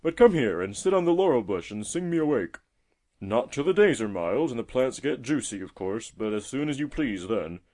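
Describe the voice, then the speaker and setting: An old male tortoise from a storybook, acted out by a man, talks in an emphatic, avuncular way. He is chatty and friendly with a testy streak, and his pitch swings up and down broadly. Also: very animated, normal speed, a little mellow. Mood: earnest farewell. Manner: emphatic, chatty, avuncular, fond of tag questions. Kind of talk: storybook character voice.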